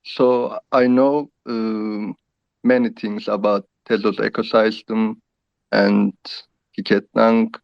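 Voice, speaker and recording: A man with a Turkish accent, a native Turkish speaker, talking haltingly in English on dull, dry call audio. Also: fairly low pitch, flat delivery, vocal fry, no room sound.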